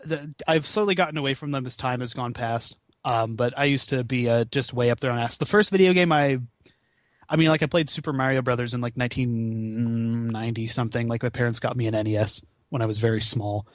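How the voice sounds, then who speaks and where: A man's voice: mid-range voice, fairly quick, dry recording, clean studio sound. A man in his twenties in casual, rambling gamer chat, swearing freely with slangy, self-deprecating asides. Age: 20s. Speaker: podcast guest, gaming marathon runner. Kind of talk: casual rambling chat about video games.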